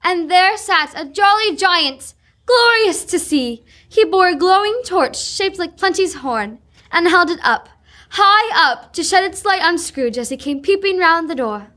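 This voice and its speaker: A woman's voice: extremely high pitch, expressive, measured pace, bright, forceful tone, heavily acted. A young woman narrating a scripted radio drama. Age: teens.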